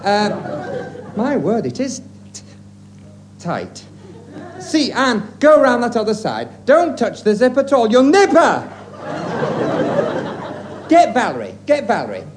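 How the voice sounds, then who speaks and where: A man's voice: very high-pitched, huge pitch swings, slow pace, pauses often, full character voice. A man playing a fussy, falsely polite female dress shop assistant in a hesitant, deferential manner in a 1950s radio sketch. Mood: flustered, straining. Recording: noisy vintage radio recording, audience.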